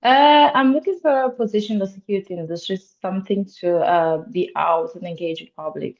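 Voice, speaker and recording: A woman's voice: medium pitch, brisk, darkish tone. A woman in her twenties from Romania, not a native English speaker, talking earnestly as a podcast guest with many hesitations. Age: 20s. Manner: earnest, hesitant with many ums, laughs often. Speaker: guest, security officer. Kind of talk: casual interview chat. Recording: dull lo-fi sound.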